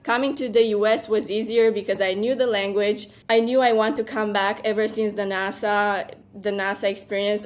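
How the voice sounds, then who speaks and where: Young woman with a Romanian accent. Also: medium pitch. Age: young adult. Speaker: podcast guest, venture capital partner. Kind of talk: conversational interview answers. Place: room at home with bookshelves.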